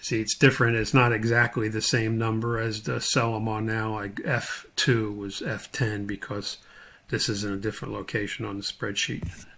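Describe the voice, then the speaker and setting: A man's voice: on the low side, little pitch movement, moderate pace, creaky, pushed and bright, a little hiss or hum. A man giving an informal screen tutorial as an instructor, thinking aloud step by step as he demonstrates.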